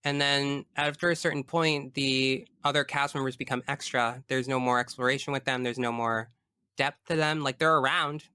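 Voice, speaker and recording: A man's voice: medium pitch, fairly even intonation, moderate pace, sharp tone, clear, resonant tone, dry recording, clean studio sound. A man in a casual podcast discussion as the guest, explaining his views earnestly and analytically at length.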